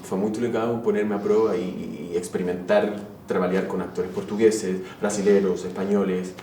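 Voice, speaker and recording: A man's voice: low voice, little pitch movement, normal speed, mellow, reverberant, recorded from a distance, slight background noise. Chilean man speaking Spanish-tinged Portuguese. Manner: Portuguese mixed with Spanish words. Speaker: actor. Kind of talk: interview answers.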